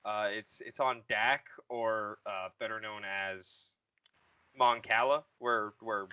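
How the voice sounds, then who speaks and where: A man co-hosting a casual comedic podcast, joking, swearing and halting as he goes. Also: fairly low pitch, fairly even intonation, bright, forceful tone, smooth, no room sound, highs cut off. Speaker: podcast host.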